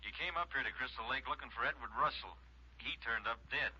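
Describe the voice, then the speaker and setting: An American actor playing a grim deputy sheriff on the phone in a 1950s radio drama. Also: male voice, mid-range voice, fairly quick, pushed and bright, husky. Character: grim male deputy sheriff phoning in bad news. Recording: dull old radio recording of phone call.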